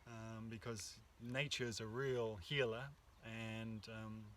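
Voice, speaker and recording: A man co-hosting a workshop, talking in a calm, reflective and hesitant way, recorded outdoors in the countryside. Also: lowish voice, takes it slowly, distant mic, a little hiss or hum. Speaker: workshop co-host. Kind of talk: casual spiritual talk. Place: outdoors in the countryside under an oak tree.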